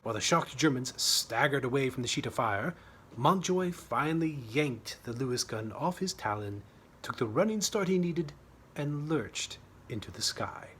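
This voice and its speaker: A man's voice: medium pitch, expressive, normal speed, a bit bright, slight background noise. A man reading his own humorous short story as scripted narration, with a wry, dramatic storytelling manner.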